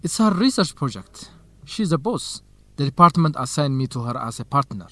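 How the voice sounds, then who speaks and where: Eager student, lively pitch. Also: male voice, normal speed, no room sound. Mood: eager, earnest. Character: eager young male journalism student, excited and nervous. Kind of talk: educational radio drama dialogue, simple clear English.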